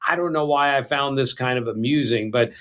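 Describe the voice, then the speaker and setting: An older man co-hosting a casual chat show, telling stories with animation and slipping into quick impressions. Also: mid-range voice. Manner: animated storyteller, breaks into impressions. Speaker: podcast co-host.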